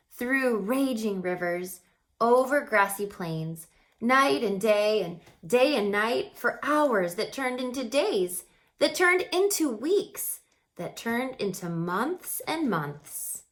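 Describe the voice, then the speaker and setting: Slow, expressive woman reading a storybook. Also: medium pitch. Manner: dramatic, with hushed passages and drawn-out words. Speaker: storybook reader. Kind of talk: expressive children's read-aloud narration.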